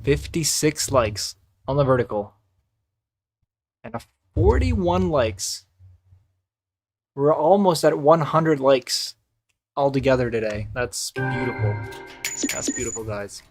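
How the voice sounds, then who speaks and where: A man whose first language is Icelandic, chatting casually and calling his sim racing live stream, with a relaxed, rambling way of talking full of fillers. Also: mid-range voice, takes it slowly, pauses often. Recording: loud game music behind. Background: music and car engine sounds.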